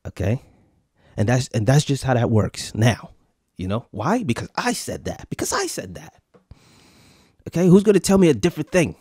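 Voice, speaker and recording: A man's voice: mid-range voice, very animated, moderate pace, long pauses, a little mellow, slightly rough, no echo, studio quality. Profane swaggering man in his thirties. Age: 30s. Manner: profane, swaggering, repeats lines for comic effect. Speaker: podcast host. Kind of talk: casual live-stream rant to viewers.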